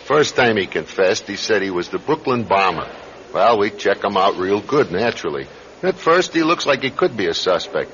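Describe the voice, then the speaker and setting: A weary New cop with a lowish voice. He is gruff, dismissive and sardonic, speaking in street-wise radio drama style on a slightly muffled recording. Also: very animated, normal speed, fairly bright tone. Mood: matter-of-fact. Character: weary, dismissive New York police sergeant.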